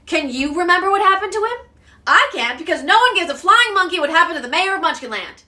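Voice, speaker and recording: A woman's voice: extremely high pitch, lively intonation, quick pace, pushed and bright. Woman performing an emotive monologue, distant mic. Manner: emotive, emphatic, with big dynamic swings. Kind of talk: theatrical dramatic monologue. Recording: distant mic with clear background noise.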